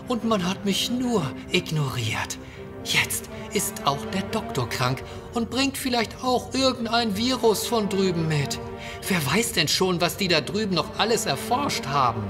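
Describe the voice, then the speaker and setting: An actor playing a cowardly, smug mutineer with a sneering, condescending manner in a sci-fi audio drama. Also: male voice, high voice. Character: cowardly, smug, menacing male mutineer crewman.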